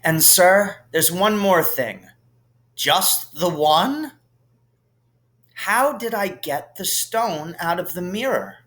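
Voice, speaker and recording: A man's voice: sits high, lively intonation, slow pace, fairly bright tone, full character voice. A man voicing an earnest, curious schoolboy hero in a thoughtful way.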